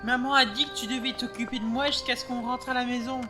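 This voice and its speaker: A woman plays a little boy in an audio drama, high-pitched and whiny. He is anxious and prim, fretting and correcting, with faint music behind. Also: fairly even intonation, fast, close to an everyday voice. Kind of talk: French audio drama acting.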